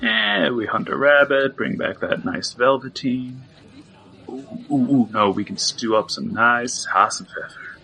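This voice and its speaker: A man's voice: mid-range voice, lively intonation, a little slow, gravelly, pushed and bright, heavily acted, poor audio. A man playing a smartass mercenary gun expert who jokes in an exaggerated way in an audio drama. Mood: gleeful joking. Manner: jokey, exaggerated.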